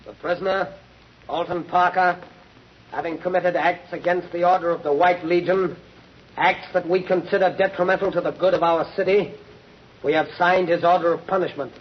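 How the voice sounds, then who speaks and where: A forceful, formal speaker before a secret court in old radio drama. The voice is high and husky, oratorical and insistent, on a muffled recording. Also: male voice, steady tone, moderate pace, pauses often. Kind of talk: 1940s radio drama acting.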